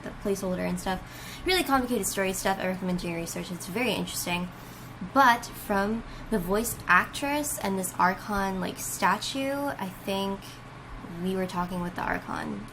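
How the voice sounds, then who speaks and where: A woman giving a casual, gushing reaction, rambling and exclaiming in drawn-out bursts. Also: mid-range voice, noisy background. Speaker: host of a reaction video. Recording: music playing behind the voice. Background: music from the video being watched.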